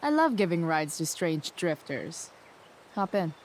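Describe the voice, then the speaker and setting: Rebellious teenage girl, lowish voice, sarcastic, dry, offhand, natural video game drama, ambient noise behind. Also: big pitch swings, unhurried. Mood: wry.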